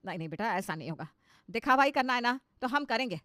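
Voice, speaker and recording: Woman as an emphatic, high-voiced middle-aged mother. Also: expressive, fast, sharp tone, no room sound, studio quality. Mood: determined. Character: fretful middle-aged mother turning showily modern. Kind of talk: cartoon moral story voice.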